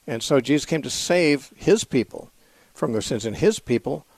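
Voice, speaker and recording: A man's voice: mid-range voice, animated, normal speed, creaky, a little mellow, husky, no room sound. A man hosting a call-in radio show, answering Bible questions thoughtfully with fillers and repeated words. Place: radio studio.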